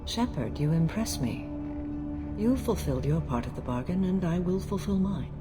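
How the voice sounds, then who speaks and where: Woman doing serene alien knight lady, deep voice, very formal and measured, video game. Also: lively intonation, over music, natural voice. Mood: solemn. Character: solemn, honor-bound female alien warrior, serene and formal.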